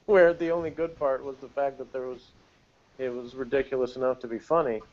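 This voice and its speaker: A man's voice: medium pitch, fairly even intonation, moderate pace, darkish tone. A man co-hosting a review show, chatting informally and bluntly. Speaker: podcast co-host reviewing TV episodes. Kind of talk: casual chat. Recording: dull, lo-fi recording.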